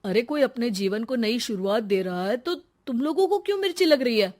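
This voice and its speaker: A woman voicing an earnest, protective college-age young man in an animated moral story. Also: on the high side, moderate pace, close to an everyday voice. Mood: indignant.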